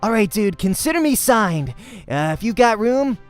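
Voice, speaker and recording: A man's voice: high voice, big pitch swings, normal speed, clear, resonant tone, faint music behind, pitched above the performer's own voice. A man voicing a cocky, hot-tempered young male pro gamer in a visual novel, brash and slangy. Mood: eager, bold.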